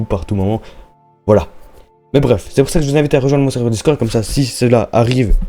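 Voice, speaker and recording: A man's voice: mid-range voice, dark tone. A young man giving casual, slangy Minecraft let's-play commentary, chatting and thinking aloud as he plays. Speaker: gaming YouTuber.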